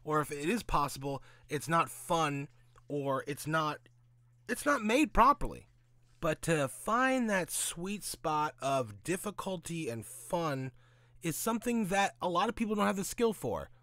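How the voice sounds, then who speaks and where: A man's voice: a little high, sing-song, measured pace, sharp tone, no room sound. A man giving casual, opinionated commentary in a blunt, sweary, sarcastic way, often asking and answering his own questions. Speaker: host of a gaming commentary audio log.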